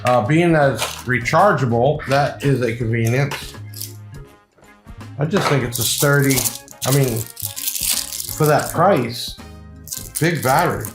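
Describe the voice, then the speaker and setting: Male product-review host, mid pitch, informal rambling sales patter; echoey room, faint music bed. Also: slow pace, long pauses. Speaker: video host and shop seller presenting products. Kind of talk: casual unboxing review, talking to camera.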